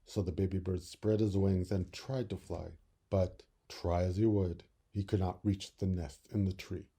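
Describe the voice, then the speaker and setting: A man reading a children's story expressively, with deliberate, dramatic phrasing. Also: low-pitched, fairly even intonation, warm tone, slightly rough. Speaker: storybook reader.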